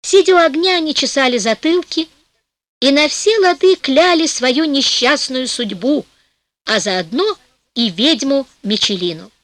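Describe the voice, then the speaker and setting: A woman narrating a fairy tale in a lively storytelling manner for an audiobook, slowing down for rhymed passages. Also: high voice, dry recording, low-quality recording.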